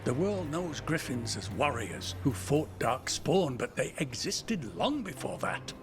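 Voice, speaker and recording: An actor playing a wise, open-minded older elf uncle who loves nature, in a video game. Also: male voice, mid-range voice, sing-song, measured pace, over music. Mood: wise, teasing.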